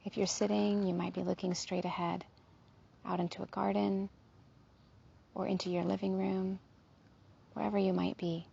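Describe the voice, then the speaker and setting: A woman leading a guided body scan meditation in a gentle, soothing, unhurried manner, with a soft, breathy, slightly husky voice. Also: medium pitch, flat delivery, dull audio.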